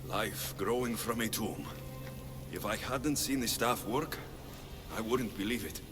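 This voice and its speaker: A man's voice: on the low side, a little slow, music in the background, close to an everyday voice. A man playing a weary, earnest ancient Greek mercenary hero in a video game, speaking plainly. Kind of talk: scripted video game drama.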